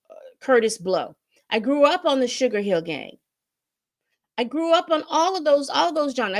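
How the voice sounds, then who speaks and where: A woman in her fifties giving a casual commentary monologue, emphatic and repetitive, often restarting her sentences. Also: a little high. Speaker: commentary host.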